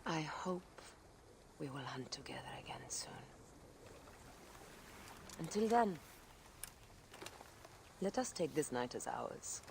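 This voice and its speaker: An actress playing a resolute, tender warrior wife in a video game cutscene. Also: female voice, sits low, animated, slow pace, gravelly, pauses often, exaggerated voice. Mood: tender, hushed. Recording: faint ambient noise.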